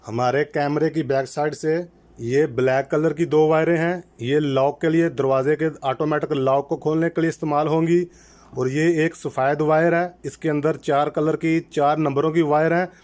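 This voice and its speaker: A man presenting a methodical, step-by-step installation tutorial in an instructional way, repeating key terms to make them clear. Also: fairly high pitch, steady tone, moderate pace, a little mellow. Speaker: electrician presenting a how-to channel.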